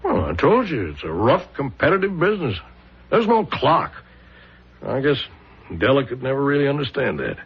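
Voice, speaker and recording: A man's voice: mid-range voice, big pitch swings, normal speed, breathy edge, heavily acted. An actor playing a troubled, defensive traveling salesman in a 1970s radio drama, protesting hesitantly and emphatically. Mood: bitter, aggrieved. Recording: dull, lo-fi radio drama recording.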